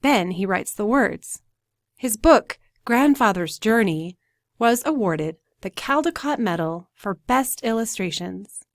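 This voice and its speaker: A woman reading a children's storybook aloud in an expressive, teacherly narration style. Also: medium pitch, slow, dry recording, clean studio sound.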